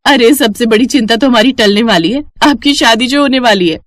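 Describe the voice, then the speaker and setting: A woman voicing a sharp-tongued, teasing young sister-in-law in a cartoon story, with a mocking, needling delivery. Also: fairly high pitch, a little fast, no echo, studio quality, lightly acted.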